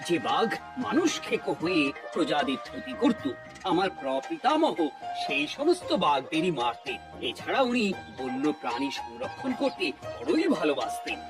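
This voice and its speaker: A kindly cartoon maharaja with a regal, admonishing way of speaking. His voice sits a little high for a man and rises and falls in animated sweeps. He declaims with easy authority. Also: moderate pace, music in the background. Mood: earnest, admonishing. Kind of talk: cartoon voice acting.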